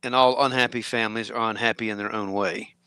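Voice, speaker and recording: A man's voice: lowish voice, steady tone, fast, pushed and bright, clean tone, dry recording. A man speaking as a guest in a casual, conversational discussion. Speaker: podcast guest. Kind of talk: casual chat.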